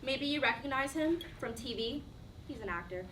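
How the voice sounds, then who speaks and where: Woman acting a bright, bubbly twenty-something woman. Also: high voice, lively intonation, normal speed. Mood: excited, playful. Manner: gushing, playful. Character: bubbly, giddy 23-year-old woman, defensive about romance. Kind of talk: staged play reading. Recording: distant mic, audible room noise.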